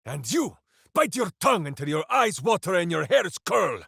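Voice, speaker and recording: A man's voice: sits high, big pitch swings, moderate pace, creaky, fairly bright tone, slightly rough, no echo, clean studio sound, full character voice. A man voicing a big-hearted, simple-minded heroic warrior in a video game, bombastic and earnest, speaking of himself in the third person. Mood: indignant. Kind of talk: video game character voice acting.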